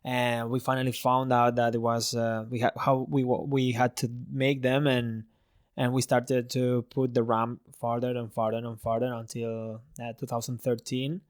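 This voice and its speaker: A man's voice: medium pitch, flat delivery, normal speed, no echo. Chatty thirties man, very dry sound. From Spain. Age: 30s. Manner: chatty storytelling with many restarts and laughs. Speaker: freestyle motocross rider, guest. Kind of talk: casual interview chat.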